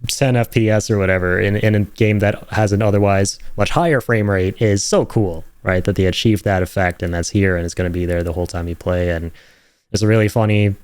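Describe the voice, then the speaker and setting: A man's voice: fairly low pitch, brisk, a little mellow, smooth, dry recording. A man giving enthusiastic, speculative commentary on game trailers in a casual streaming style. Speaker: reaction video host, streamer.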